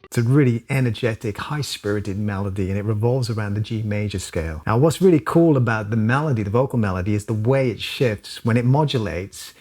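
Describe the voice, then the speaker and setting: A man from South Wales, calmly breaking down chords and modes in a music lesson. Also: on the low side, fairly quick, few pauses. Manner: relaxed, thoughtful, casual with fillers. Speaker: music teacher. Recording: song playing loudly behind.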